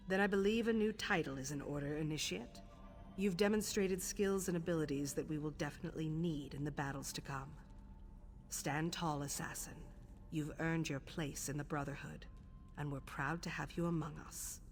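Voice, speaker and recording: Game casting: composed female assassin guildmaster, low-pitched, formal, commanding, light music beneath. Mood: formal, approving. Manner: measured, formal, authoritative. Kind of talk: video game voice acting. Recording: faint background music under the voice.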